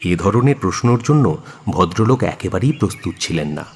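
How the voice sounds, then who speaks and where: Low male storyteller in long reverb. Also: lively intonation, normal speed, slight background noise. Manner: literary, theatrical. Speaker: narrator. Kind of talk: dramatic audio story narration. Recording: long reverb, music behind. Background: music.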